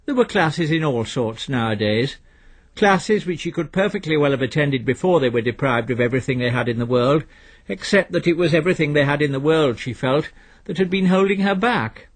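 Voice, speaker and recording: A man narrates literary fiction in a measured, wry way. His voice sits a little high for a man and has a husky, unpolished edge. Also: animated. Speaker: audiobook narrator.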